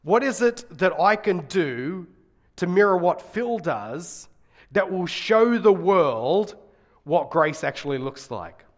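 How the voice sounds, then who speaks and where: A middle-aged man with a slightly raspy voice giving a conversational sermon, speaking earnestly and stressing key phrases. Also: high-pitched, sing-song, slow. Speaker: guest preacher and Bible college teacher.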